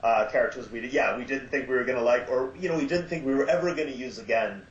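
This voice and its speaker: A man guest on a podcast interview, talking casually about his show and pausing often with fillers. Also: medium pitch. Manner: hesitant, many filler sounds. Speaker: podcast guest from an audio drama. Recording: echoey, dull lo-fi line.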